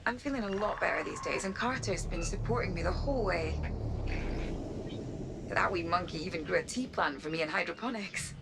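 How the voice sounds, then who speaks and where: An actress playing an earnest, confiding young crew member, recording a message in a sci-fi game. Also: female voice, fairly low pitch, fairly quick, vocal fry, background noise. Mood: earnest, uneasy. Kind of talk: intimate sci-fi game monologue.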